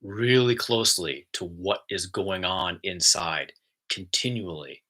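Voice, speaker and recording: A man with a fairly low voice that still has a bright edge, hosting a spiritual talk. He chats in a relaxed, easygoing way. When he guides the breathing he slows down and goes soft. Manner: easygoing and chatty, soft and slow when guiding. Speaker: spiritual host and intuitive channeler.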